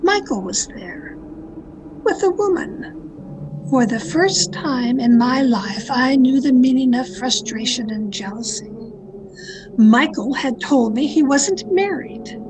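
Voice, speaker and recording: An actress playing a jealous, murderous mystery novelist in a radio drama, with deliberate melodramatic delivery over a home call recording. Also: female voice, high voice, lively intonation, noisy background. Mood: bitter, jealous. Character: jealous, murderous woman mystery novelist confessing her crimes. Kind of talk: dramatic first-person radio mystery narration. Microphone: video call.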